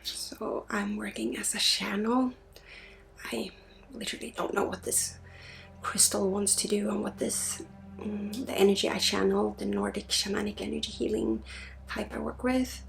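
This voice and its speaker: Soft creaky woman, drifting into whisper. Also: medium pitch, fairly even intonation, moderate pace, slight background noise, rough recording. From Sweden. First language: Swedish. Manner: drifts between soft murmur and breathy whisper. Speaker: ASMR host, spiritual energy healer. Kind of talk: ASMR, soft-spoken guided energy healing.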